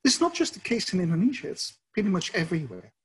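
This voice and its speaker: A man's voice: sits high, huge pitch swings, fast, husky. A middle-aged man from the Netherlands with a Dutch accent, a professor answering interview questions in a thoughtful, explanatory way. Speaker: professor and researcher.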